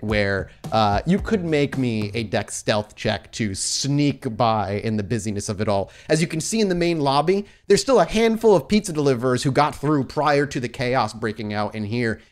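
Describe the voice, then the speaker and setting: A man improvising narration as game master of a tabletop game, acting out bystanders in an animated way. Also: mid-range voice, moderate pace, hardly any pauses.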